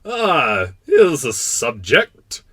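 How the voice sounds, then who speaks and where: A man voicing a proud, kindly but pompous king in a storybook, making imperious declarations with drawn-out words. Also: medium pitch, wildly swooping pitch, pushed and bright, slightly rough, no echo, voice pushed up, full character voice. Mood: pompous, commanding. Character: proud, kindly, pompous absolute monarch of a tiny planet.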